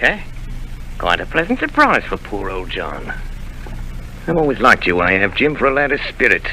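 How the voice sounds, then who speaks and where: A crafty old pirate chief in a vintage radio play, slipping between a wheedling purr and open threat. His voice is very bright and cutting, and his pitch swoops up and down constantly. A faint hiss sits under the old broadcast. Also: exaggerated voice, rough recording. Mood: coaxing. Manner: rough sailor's slang, sly and coaxing. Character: cunning old male pirate leader, menacing and wheedling. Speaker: radio drama actor. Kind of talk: 1930s radio drama acting.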